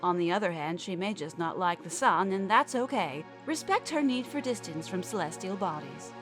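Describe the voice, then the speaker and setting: A woman narrating scripted fiction in a measured, earnest manner, as a podcast storyteller. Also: lowish voice, lively intonation, music in the background. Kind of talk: scripted fiction narration, mock advice column.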